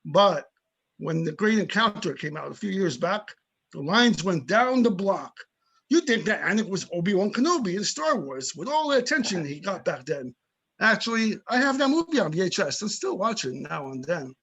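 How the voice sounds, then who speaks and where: A man playing a tough but amicable older police chief in an amateur readers theater, plainspoken and opinionated, with a husky voice. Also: high voice, sing-song, moderate pace, fairly bright tone, heavily acted. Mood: matter-of-fact. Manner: plainspoken, opinionated, small-town storytelling. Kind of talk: amateur readers theater reading over videoconference.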